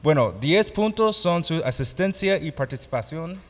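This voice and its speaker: A man with a foreign accent, not a native speaker, teaching a class as a pastor with halting phrasing and grammar slips. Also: a little high, animated. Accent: foreign, non-native Spanish. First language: not Spanish. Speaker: pastor and Bible course teacher. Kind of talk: classroom lecture, explaining a course. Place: classroom or hall with students present. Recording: echoey hall with long reverb.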